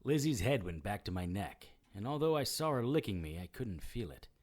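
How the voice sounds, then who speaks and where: A wry immortal detective narrating his own supernatural audio drama. His voice sits low and swings expressively, recorded close and dry, with a dramatic, self-mocking edge. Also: sing-song, moderate pace, dry recording. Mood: hushed, tense. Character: wry immortal male occult investigator, noir narrator. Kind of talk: scripted first-person audio drama narration.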